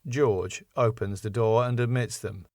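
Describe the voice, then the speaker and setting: A man's voice: on the low side, steady tone, a little slow, a little mellow, no room sound, studio quality. A man reading out stage directions from a sitcom script as scripted narration.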